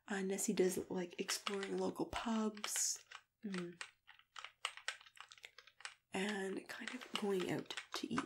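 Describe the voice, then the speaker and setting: A woman in a soft-spoken ASMR role-play, often dropping into a whisper, confiding gently with pauses and small murmurs as she chats like a matchmaker. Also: medium pitch. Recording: clear background noise with keyboard typing. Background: typing and clicking.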